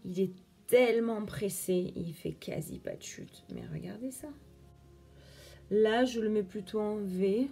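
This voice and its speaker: A woman's voice: mid-range voice, very animated, a little slow, creaky, warm tone, faint music behind. A young woman chatting through a makeup tutorial, explaining each step as she works. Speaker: beauty vlogger.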